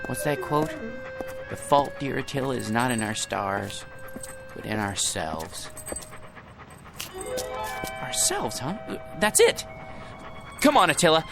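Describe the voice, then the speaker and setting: An actor playing a timid, down-on-his-luck man talking to his dog in a radio drama, soft and hesitant with some stammering. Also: on the high side, vocal fry, exaggerated voice. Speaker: lead actor. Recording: studio radio play with music underneath.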